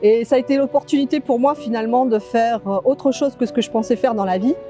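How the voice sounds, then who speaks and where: A woman in her forties, a writer, speaking in a measured, reassuring way in an interview. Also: a little high, warm tone, faint music behind.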